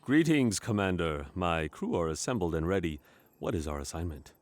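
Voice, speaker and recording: An actor playing a formal, commanding, self-important starship captain in a sci-fi comedy audio drama. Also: male voice, low voice, expressive, normal speed. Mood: brisk command.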